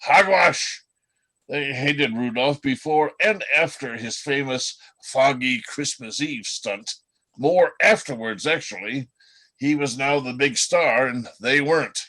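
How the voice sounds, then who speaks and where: A man playing a chatty, world-weary reindeer in an amateur radio play, telling gossip in a folksy, halting way. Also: mid-range voice, pushed and bright. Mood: indignant, gossipy.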